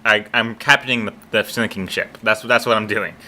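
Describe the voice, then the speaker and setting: A man's voice: fairly even intonation, fairly quick, sharp tone. A teenage boy hosting a casual podcast chat, talking with many restarts and fillers. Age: teens. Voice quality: naturally deep voice. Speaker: podcast host.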